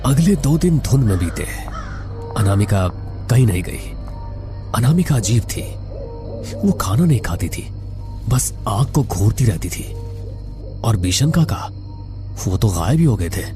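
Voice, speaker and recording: A man's voice: fairly low pitch, very animated, a little slow, long pauses, music in the background. A man narrating a scripted horror story in a dramatic, suspenseful manner.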